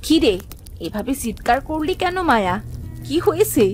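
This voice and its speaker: A woman plays a concerned village mother in a children's cartoon. Her voice is fairly high and lively in pitch, motherly and questioning, with clear music behind. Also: normal speed. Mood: concerned, probing. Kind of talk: children's moral-story cartoon acting.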